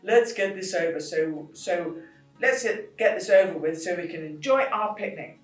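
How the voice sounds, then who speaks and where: A woman's voice: on the low side, measured pace, roomy sound, distant mic, faint music behind, natural voice. A woman voicing a grumbling female pony who wants carrots. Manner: grumbling, muttering.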